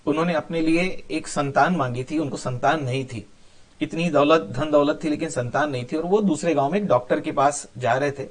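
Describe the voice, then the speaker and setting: High-pitched man telling a story. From India. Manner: explanatory, conversational asides, English words mixed in. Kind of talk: informal moral storytelling.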